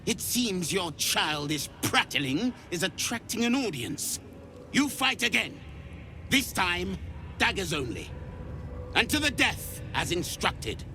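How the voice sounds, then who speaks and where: An actor playing a harsh, contemptuous githyanki drill instructor in a video game, speaking imperiously over loud music. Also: male voice, sits high, big pitch swings, full character voice. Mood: commanding, angry. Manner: imperious, scornful, deliberate.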